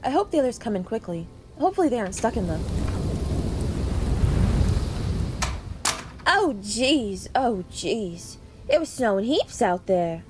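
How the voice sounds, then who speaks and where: Woman acting a lively lodge hostess, high voice. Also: normal speed, music in the background. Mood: welcoming. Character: bossy, hospitable woman keeper of a snowbound lodge. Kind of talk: amateur puppet show acting.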